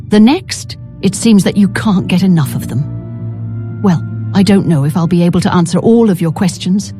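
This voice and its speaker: A woman's voice: on the low side, mellow. A woman voicing a chatty, knowing tavern keeper in a fantasy video game, with folksy, theatrical phrasing. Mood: wry. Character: chatty female tavern keeper trading in local gossip.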